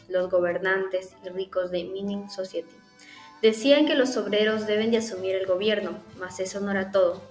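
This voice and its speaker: A woman's mid-pitched voice narrates a scripted summary in an echoey room. Music plays softly underneath.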